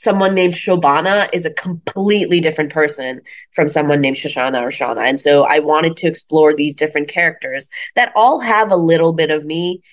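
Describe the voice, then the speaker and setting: Low-pitched woman from Bangladesh, chatty storyteller. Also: brisk. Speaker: comedian, interview guest. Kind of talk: casual conversational interview.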